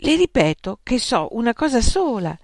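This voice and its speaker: A reader voicing a calm, stubborn young male student who answers with serene, respectful firmness. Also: mid-range voice, moderate pace, no echo, studio quality, voice pushed up.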